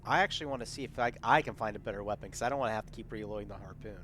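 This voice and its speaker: A man's voice: fairly low pitch, rapid-fire, a bit bright. A man talking casually as a player in a tabletop roleplaying game.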